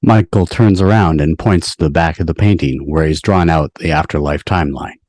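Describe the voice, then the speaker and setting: Super deep voice guy, reading out stage directions at a table read. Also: brisk, darkish tone. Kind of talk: scripted narration for table read.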